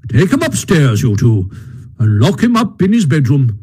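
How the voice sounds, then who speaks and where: A man's voice: on the high side, very animated, moderate pace, warm tone, slightly rough. A man voicing a stern, fatherly badger in a storybook, speaking in a solemn, firm, speechifying way.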